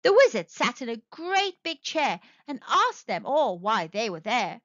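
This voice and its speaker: A woman reading a children's story aloud as narrator, in animated storytelling style. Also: a little high, a little slow, dry recording, highs cut off.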